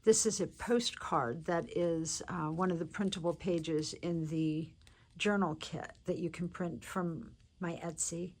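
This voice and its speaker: Woman, congested, low-ish voice, slow easy pace, chatty craft show-and-tell. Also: steady tone. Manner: chatty and unhurried, with many fillers and restarts. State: congested, stuffy from allergies. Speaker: crafter showing a handmade journal. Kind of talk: casual show-and-tell narration.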